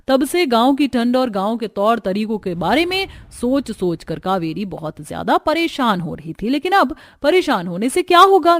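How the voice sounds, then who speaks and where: A woman's voice: medium pitch, darkish tone. A woman reading scripted narration for a story.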